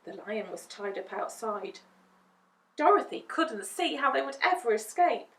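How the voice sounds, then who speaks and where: Slow, hugely expressive woman storyteller. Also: fairly high pitch, distant mic. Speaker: storytime reader. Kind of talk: expressive children's storybook narration. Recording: roomy echo, away from the mic.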